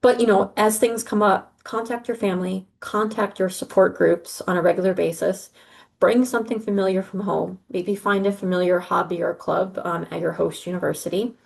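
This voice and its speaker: Reassuring gravelly-voiced woman. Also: mid-range voice, fairly even intonation, normal speed. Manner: earnest, reassuring, with frequent ums and uhs. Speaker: study abroad advisor. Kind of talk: informational briefing on a call. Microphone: video call.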